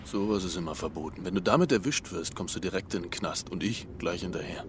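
Actor as a low-voiced, menacing streetwise gun dealer. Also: male voice, fast, noisy background, lightly acted. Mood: wary, menacing. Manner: curt, slangy, mocking. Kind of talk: radio drama acting.

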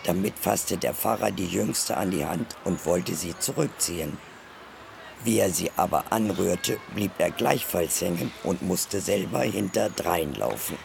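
Male storybook narrator, very low and heavily creaky, noise behind. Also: animated, a little slow.

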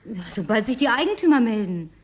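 An actress playing a bossy, playful, high-spirited woman in a German radio play, with a commanding, teasing manner. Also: big pitch swings, a little fast, no room sound. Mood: defiant, then coaxing.

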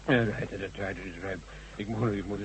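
An actor playing a dazed, compulsive digger in a radio drama, stammering and mumbling repetitively. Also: male voice, lowish voice, fairly even intonation, a little fast, natural voice. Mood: delirious, weak. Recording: noisy, humming old radio recording.

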